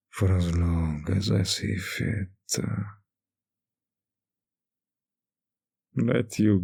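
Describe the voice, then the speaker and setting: A man playing a teasing, possessive male vampire in an intimate roleplay addressed to the listener, with a husky, gravelly voice and a lingering delivery. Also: low-pitched, warm tone, heavily acted.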